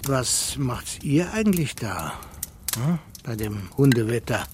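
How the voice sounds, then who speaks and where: A man's voice: mid-range voice, very animated, takes it slowly, slight background noise. Folksy countryman, widely swooping voice. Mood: hospitable. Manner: folksy, chatty, hospitable. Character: Michel, friendly but faintly eerie countryside man. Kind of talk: children's radio play acting.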